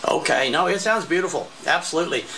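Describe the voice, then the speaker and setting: A man with gravelly fry in a fairly high voice chats casually over ham radio while he films. He thinks aloud in halting phrases. A handheld camera catches him amid noticeable noise. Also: animated, bright, forceful tone. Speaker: amateur radio operator. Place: indoor home radio room. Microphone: handheld camera mic. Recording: noisy handheld camera recording.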